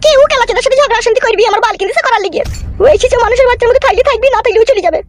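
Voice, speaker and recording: A woman playing a shrill, foul-mouthed, quarrelsome mother-in-law in a comedy cartoon, scolding and arguing. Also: rapid-fire. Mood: angry scolding. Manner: scolding, cursing, argumentative.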